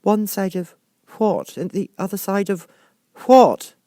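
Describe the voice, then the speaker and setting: A man voicing a polite, puzzled little girl in a storybook, earnest and questioning, quick to protest. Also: sits high, lively intonation, warm tone, no echo, voice pushed up, heavily acted.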